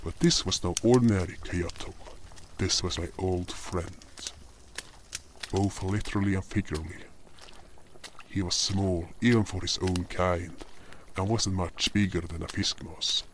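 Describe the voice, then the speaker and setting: A man's voice: low-pitched, fairly even intonation, a little slow, slight background noise. A man narrating a scripted first-person fantasy story as its storyteller and voice actor. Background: music.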